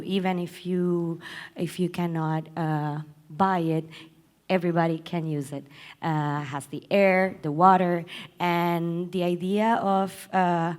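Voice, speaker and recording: A woman on a conference panel, introducing her work haltingly with many fillers. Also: fairly low pitch, a little mellow, clean tone. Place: conference hall.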